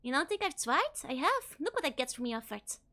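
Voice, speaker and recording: A hoarse woman voicing a grumbling, put-upon male innkeeper who complains and gossips gruffly. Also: high-pitched, big pitch swings, a little fast, sharp tone, full character voice. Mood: indignant.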